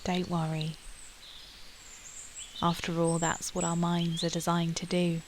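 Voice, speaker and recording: A woman leading a guided meditation in a calm, soothing manner with long pauses. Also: on the low side, a little hiss or hum. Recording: steady outdoor nature ambience with birdsong. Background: birdsong and nature ambience.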